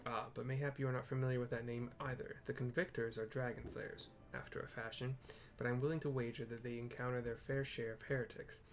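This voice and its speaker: A man's voice: medium pitch, level tone, fast talker, few pauses, close to an everyday voice. A man voicing a grave, proud dragon-slaying knight in a fantasy game, with solemn, formal, old-fashioned phrasing. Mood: grave, measured.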